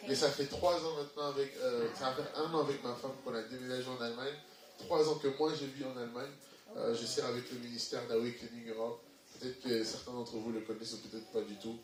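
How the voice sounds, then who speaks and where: An energetic man, addressing a church congregation as a guest ministry speaker. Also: medium pitch, fast. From Belgium. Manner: energetic, upbeat. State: has a cold, sniffly. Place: church hall. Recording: echoey hall from far off.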